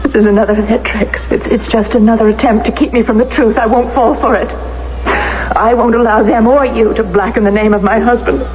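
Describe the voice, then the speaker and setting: An actress playing an anguished, defiant middle-aged widow in a radio drama, speaking in a halting, emotional, melodramatic way. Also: female voice, on the high side, steady tone, natural voice. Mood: defiant, distressed. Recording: very noisy, dull radio recording.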